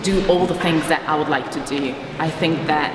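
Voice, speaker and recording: Woman, thirties, deep-pitched, hesitant rambling interview talk, very echoey hall, heavy background noise. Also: moderate pace, far from the mic. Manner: rambling, hesitant, searching for words. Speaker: poet and interview guest. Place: large concrete gallery hall indoors. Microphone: distant camera microphone.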